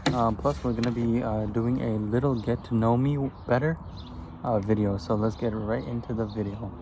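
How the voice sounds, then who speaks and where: A man's voice: mid-range voice, steady tone, normal speed. A man in his twenties from Afghanistan, chatting casually while he eats and answers get-to-know-me questions outdoors. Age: 20s. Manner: easygoing, answers questions in a loose, rambling way. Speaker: vlogger. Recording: outdoor recording with background noise and birds.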